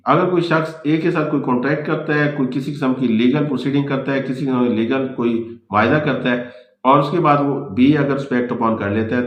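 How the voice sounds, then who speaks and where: Echoey, slow-paced Pakistani male lecturer. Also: mid-range voice. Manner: didactic, repeats points in rephrased form. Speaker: law lecturer. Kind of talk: explanatory lecture, reading English points then explaining in Urdu. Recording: echoey room sound.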